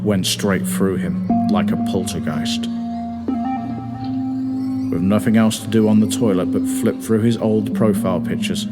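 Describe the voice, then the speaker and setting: A man's voice: fairly low pitch, steady tone, unhurried. A man reading his own comic story as narrator in a theatrical, mock-dramatic way. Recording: long reverb, music behind.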